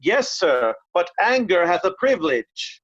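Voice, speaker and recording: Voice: mid-range voice, sing-song, measured pace, a bit bright, highs cut off. An actor playing a blunt, hot-tempered old loyalist in Shakespearean reader's theatre, scornful and emphatic, piling up insults. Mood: indignant, insulting.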